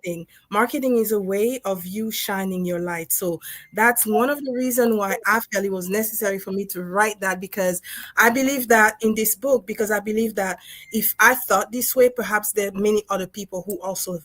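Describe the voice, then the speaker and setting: A woman's voice: mid-range voice, brisk, fairly bright tone, no room sound. A woman from Congo whose first language is French, a dentist and co-author talking through her experience in a casual livestream, reflective and full of fillers. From Democratic Republic of Congo. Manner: reflective, storytelling with frequent fillers and laughs.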